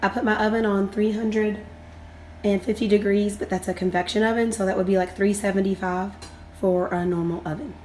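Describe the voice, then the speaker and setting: Woman host, voice hoarse from a cold, chatty step-by-step kitchen demo, quiet hum behind. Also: medium pitch, fairly even intonation, fairly quick. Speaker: channel host. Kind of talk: casual cooking demonstration. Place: home kitchen.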